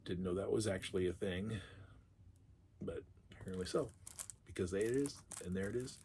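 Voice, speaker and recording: A middle-aged man who is sick, his voice gone raspy and a little low. He talks in a loose, chatty way, musing aloud and laughing now and then as he unboxes his purchases. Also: moderate pace, mellow, a little hiss or hum. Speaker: collector vlogger. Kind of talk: casual unboxing monologue.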